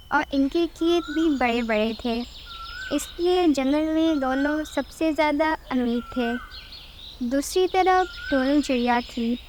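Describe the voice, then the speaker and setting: A woman's voice: sits high, a little hiss or hum. A woman narrator reading a scripted children's story.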